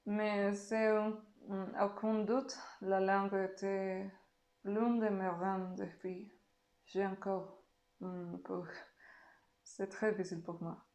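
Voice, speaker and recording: Slow-talking woman with a Mexican Spanish accent. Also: mid-range voice, darkish tone, echoey room. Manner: slow, careful speech with pauses. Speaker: interviewee.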